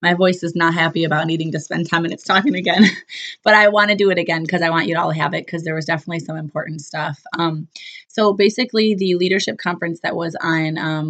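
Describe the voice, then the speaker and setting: A woman with a cold that makes her voice sound lower and rough, giving a chatty, upbeat recap as a business coach with plenty of fillers and restarts. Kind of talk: casual solo recap talk to camera.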